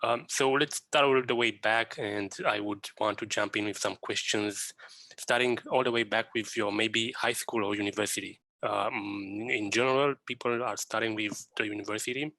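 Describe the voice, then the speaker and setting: A man hosting his own podcast, asking questions in a hesitant, halting way with fillers. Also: fairly low pitch, fairly even intonation, sharp tone, dry recording, low-quality recording. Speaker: podcast host. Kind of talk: podcast interview.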